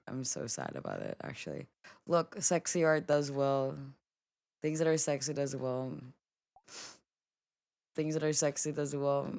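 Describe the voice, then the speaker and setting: A woman's voice: very deep voice, creaky, darkish tone, no echo, clean studio sound. A woman streamer chatting casually and dramatically with her viewers, swearing and moping. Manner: dramatic, swears, laughs, mopes. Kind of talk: casual live-stream chat.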